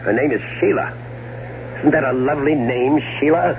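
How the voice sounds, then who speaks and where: A man's voice: medium pitch, moderate pace, crowd noise, close to an everyday voice. An American actor playing a scheming, irritable, sarcastic man in a 1970s radio drama, stammering when cornered. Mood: bitter sarcasm. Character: scheming, irritable man, bitterly sarcastic older-brother type. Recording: muffled, noisy old radio broadcast recording.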